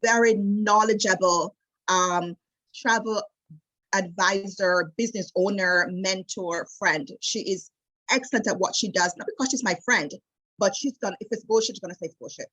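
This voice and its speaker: A woman in her late forties with a Jamaican accent, a wedding travel specialist chatting as an interview guest in a lively storytelling way, with many fillers and restarts. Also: medium pitch, moderate pace, rough recording. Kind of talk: casual interview chat.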